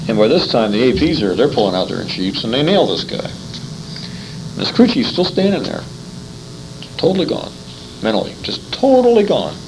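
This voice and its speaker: A man's voice: mid-range voice, very animated, normal speed, long pauses. An American man chatting and telling stories casually, plainspoken, often repeating words as he finds his phrasing. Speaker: interviewer, military veteran. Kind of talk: casual conversational storytelling. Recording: faintly noisy informal interview recording.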